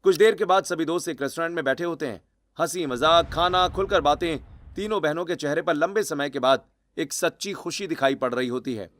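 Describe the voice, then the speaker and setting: A man's voice: a little high, a little fast, fairly bright tone. A man narrating a scripted dramatic story in the present tense.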